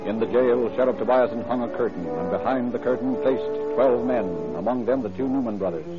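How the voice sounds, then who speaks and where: A man narrating an old radio crime drama in a formal, measured storytelling manner. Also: mid-range voice, natural voice. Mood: grave, matter-of-fact. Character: male narrator of a true-crime police drama. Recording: dull old radio recording, music behind.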